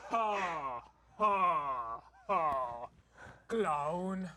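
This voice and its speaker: A woman's voice: low voice, lively intonation, drawn-out words, bright, forceful tone, full character voice. A woman acting a complaining, mocking member of a travelling troupe of actors on stage. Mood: scornful. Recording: very noisy distant stage recording.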